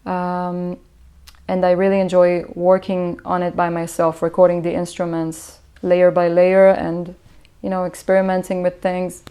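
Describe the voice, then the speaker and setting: Israeli woman, low flat reflective voice. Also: a little slow, clean tone. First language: Hebrew. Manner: reflective, hesitant with many fillers and restarts. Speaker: musician. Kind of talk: interview answers.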